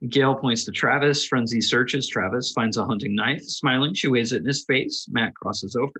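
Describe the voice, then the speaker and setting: Male narrator for script directions, medium pitch, a little rasp, reading aloud. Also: normal speed, bright, forceful tone. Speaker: reader of stage directions at a table read.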